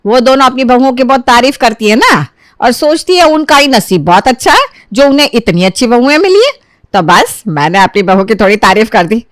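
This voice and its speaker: A woman's voice: on the high side, big pitch swings, brisk, fairly bright tone, dry recording, pitched above the performer's own voice. Woman playing a blunt older mother-in-law, dry sound. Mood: dismissive.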